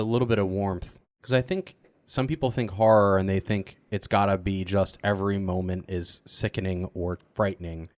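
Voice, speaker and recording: Male cast member, casual panel talk, slightly deep, blunt and wry, dry close sound. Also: dry recording.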